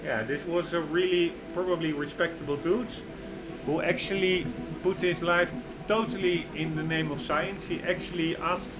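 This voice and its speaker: Halting man over loud music. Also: a little high, fairly even intonation, low-quality recording. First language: Dutch. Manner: halting, searches for words with many fillers; opinionated, gets worked up. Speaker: gaming video creator. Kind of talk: reading game lore aloud with commentary. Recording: loud music bed under voice. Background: loud game music.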